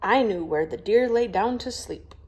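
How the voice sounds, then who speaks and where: A woman's voice: mid-range voice, lively intonation, moderate pace, pitched above the performer's own voice, lightly acted. A woman voicing a proud, stiff young lady in a storybook, with a prim, formal, scolding manner. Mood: proud, wistful.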